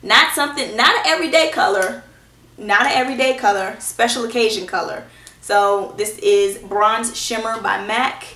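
Brief: hoarse young woman, casual beauty vlog, chatty and reassuring, roomy camera sound. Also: a little high, normal speed, bright, forceful tone. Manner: chatty and reassuring, self-teasing. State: hoarse from a cold. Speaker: beauty vlogger. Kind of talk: casual product review. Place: small indoor room at home. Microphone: camera in front at close range. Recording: echoey room.

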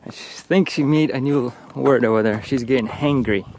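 A man's voice: medium pitch, normal speed, rough recording. A man in his thirties chatting to camera while hiking an outdoor mountain trail, filmed at arm's length. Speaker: vlogger. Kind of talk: casual travel vlog. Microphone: handheld camera at arm's length. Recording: loud outdoor noise on camera mic.

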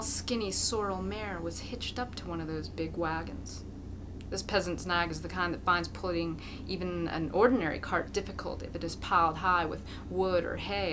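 A woman's voice: on the low side, background noise. A woman reading a literary story aloud as an amateur narrator, in a dramatic, hammy style. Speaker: amateur reader.

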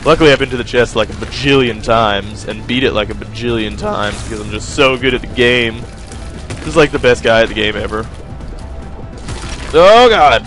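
A man's voice: medium pitch, normal speed, a bit bright. A young man giving casual, rambling commentary over a video game, joking and talking to his viewers. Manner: rambling, joking, self-mocking, talks to viewers. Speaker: gaming video host. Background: faint game music.

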